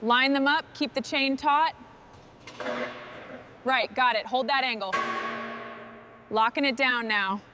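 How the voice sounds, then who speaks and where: A woman's voice: high voice, slow, pauses often, noisy background. A woman giving short scripted instructions and remarks as a mechanic on a restoration job.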